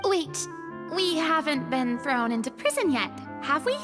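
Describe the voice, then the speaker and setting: A woman's voice: high-pitched, sing-song, a little slow, in a large hall, music in the background. A woman playing an earnest, cautious young adventurer in an anime video game. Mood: alarmed.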